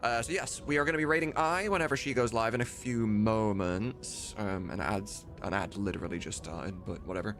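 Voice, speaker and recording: A man's voice: fairly low pitch, fairly quick, few pauses, fairly bright tone, faint music behind. A man chatting casually and informally as a livestream host, with some mild swearing. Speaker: livestreamer and host of a game voice-dubbing mod team.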